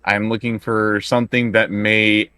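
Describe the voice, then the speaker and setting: A man's voice: lowish voice, little pitch movement, a little slow, pushed and bright, smooth, no room sound, clean studio sound. A man chatting casually as a player in a tabletop game, hesitant and stumbling over his words.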